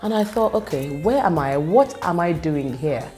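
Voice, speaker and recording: A woman whose first language is Yoruba speaks in a low, warm voice. She tells her story in an earnest, reflective way, pausing and restarting as she goes. Soft music plays faintly behind her. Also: animated. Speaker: gospel singer. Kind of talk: personal testimony monologue.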